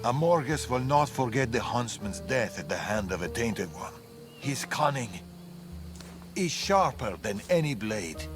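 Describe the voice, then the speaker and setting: An actor playing a grave, wary older warrior father in a video game, speaking in a measured, solemn, ominous way over dramatic music. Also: medium pitch, big pitch swings. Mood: grave warning.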